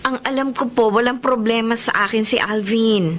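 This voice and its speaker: A woman's voice: fairly high pitch, steady tone, moderate pace, a bit bright, a little hiss or hum, natural voice. An actress playing a loyal, lovelorn young woman in a Filipino radio drama. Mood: subdued, respectful. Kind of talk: Filipino radio drama acting, letter narration. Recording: music bed behind the voice. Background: music.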